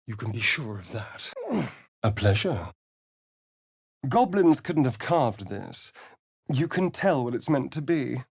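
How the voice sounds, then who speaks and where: A man's voice: mid-range voice, husky, no room sound, clean studio sound, full character voice. A male actor playing a vain, sly, sardonic vampire adventurer in a video game, with a theatrical, haughty delivery. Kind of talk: video game character voice lines.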